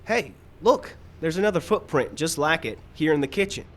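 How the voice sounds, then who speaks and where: A man playing an earnest, slightly slow cowboy sidekick in an old-time radio mystery, performed live. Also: a little high, lively intonation. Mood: eager, amazed. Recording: live outdoor stage, some background noise.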